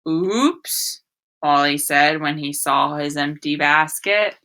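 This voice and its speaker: A young adult woman reading aloud and teaching young children, expressive and sing-song, often addressing them with questions. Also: deep voice, measured pace, slight background noise. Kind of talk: read-aloud story and phonics lesson for children.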